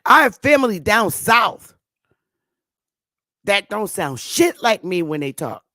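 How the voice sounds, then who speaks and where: A woman's voice: medium pitch, big pitch swings, bright, forceful tone, no echo. Emphatic woman teaching in a dry room. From northern United States. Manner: emphatic, preachy, with slow drawn-out stresses. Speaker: online talk show host. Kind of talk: casual teaching monologue.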